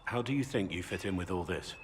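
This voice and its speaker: An actor playing a gruff, brooding veteran warrior in a video game, speaking in a blunt, earnest way. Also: male voice, deep voice, expressive, quick pace, a little hiss or hum. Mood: subdued, reflective. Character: gruff, brooding bearded veteran warrior, a principled Grey Warden. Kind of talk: video game dialogue acting.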